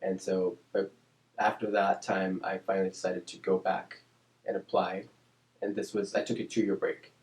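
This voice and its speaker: A man who grew up in Oakland, California, with Spanish as his first language, reflecting on his life in an interview at a thoughtful, measured pace with frequent ums and restarts. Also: deep voice, level tone, roomy sound, poor audio. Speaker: interview guest, speech-language pathologist.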